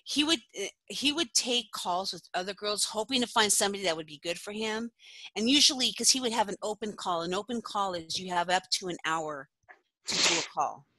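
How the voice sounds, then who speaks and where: A middle-aged woman, a former phone sex operator, telling stories in a casual podcast interview, chatty and quick to laugh. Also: mid-range voice, sharp tone, rough recording. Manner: chatty storyteller who laughs often.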